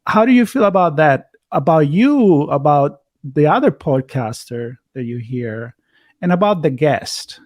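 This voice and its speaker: Casting: male podcaster, Italian accent, slightly high voice, loose conversational phrasing, dry close sound. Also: expressive, measured pace, darkish tone, dry recording. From Italy. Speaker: podcast host. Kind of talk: casual conversational interview.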